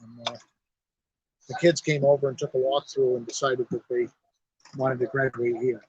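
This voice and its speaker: Easygoing husky-voiced middle-aged man outdoors. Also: mid-range voice, little pitch movement, normal speed, darkish tone, no room sound. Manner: easygoing, chatty, folksy. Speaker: car club president and garage owner. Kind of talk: casual on-site interview. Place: outdoors at an evening car show. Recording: dull lo-fi live stream sound.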